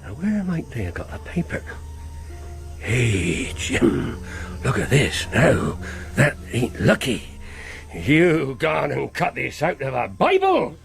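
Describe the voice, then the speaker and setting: An actor playing a sly, commanding pirate ringleader in a radio drama, drawling and taunting. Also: male voice, medium pitch, huge pitch swings, takes it slowly, vocal fry, full character voice. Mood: sly, mocking. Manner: drawling, wheedling, rhetorical taunts.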